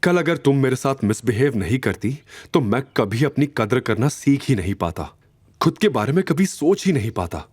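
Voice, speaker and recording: A man's voice: medium pitch, very animated, normal speed, a little mellow, slightly rough. A man playing a wounded, proud young husband in an emotional, emphatic audio drama style. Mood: cold, resolute. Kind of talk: Hindi audio drama acting.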